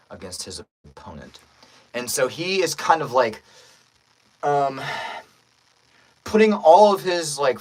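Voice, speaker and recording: A man's voice: mid-range voice, rough recording. A man chatting casually and friendly with viewers as a voice actor on a livestream, thinking aloud as he goes.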